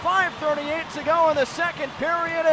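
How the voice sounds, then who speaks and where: A man calling ice hockey play-by-play live on radio, excitable and building to shouted calls. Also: very high voice, moderate pace. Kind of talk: live radio sports commentary. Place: broadcast position at hockey games. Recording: live arena broadcast, clearly noisy background.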